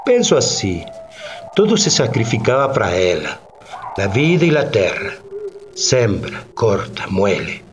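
Weary bitter old plantation slave, broken rustic talk, really slow, swoops up and down, noisy background ambience, radio play. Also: male voice, on the low side, very animated. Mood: weary, lamenting. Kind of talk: radio drama testimony.

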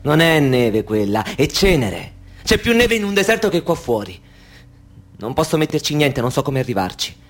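A man's voice: a little high, big pitch swings, moderate pace. An actor playing a wary, suspicious young man in a radio drama who gives orders in short, curt lines. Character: edgy, suspicious young man guarding a woman.

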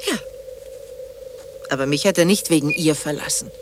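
An actress playing a bitter, sarcastic middle-aged ex-wife in a German radio play, theatrical and cutting. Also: female voice, low-pitched, normal speed, background noise, natural voice. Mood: bitterly mocking. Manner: sarcastic, mocking, dramatic.

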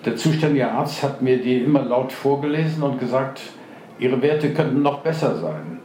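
An actor playing a grumpy, contrary old care-home resident in a dour, deadpan radio play style. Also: male voice, medium pitch, close to an everyday voice. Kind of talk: German radio play acting. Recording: echoey room with faint background noise.

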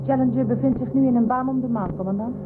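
A woman playing an eager, girlish young starship crew member in a science fiction radio drama, reporting crisply. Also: a little high, fast, background noise, lightly acted. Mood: businesslike. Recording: thin phone-like sound.

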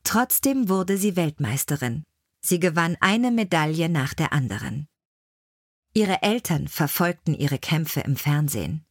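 A woman's voice: on the low side, dry recording, clean studio sound. A woman reading scripted biographical stories as an audiobook narrator.

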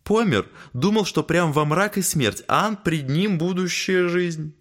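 An actor playing a genteel, down-at-heel devil in an audio drama, talking in an urbane, familiar, teasing way. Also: male voice, a little high, lively intonation, unhurried, a bit bright. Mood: playful, confiding. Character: genteel, shabby male devil, chatty and ingratiating. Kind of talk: dramatic audio play acting.

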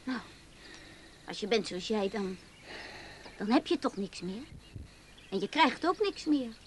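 An actress playing a lively young woman in a radio play, with a mocking, playful, goading manner. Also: a little high, a little slow, a little hiss or hum. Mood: subdued.

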